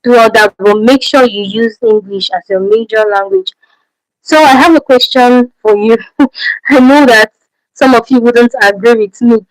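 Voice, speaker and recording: A Nigerian woman with a fairly high voice hosts a livestream Q&A in a dry, close-sounding space. She is a native Efik speaker and talks with a Nigerian accent, repeating her main points and tossing out rhetorical questions as she goes. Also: normal speed, dry recording, low-quality recording. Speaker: channel host. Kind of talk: livestream Q&A advice.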